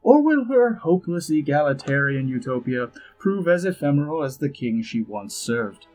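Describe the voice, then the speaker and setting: A man playing a suave, haughty elf diplomat in a fantasy audio drama, with measured, arch, dryly witty delivery. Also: on the high side, big pitch swings, darkish tone, low-quality recording. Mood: measured, grave. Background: background music.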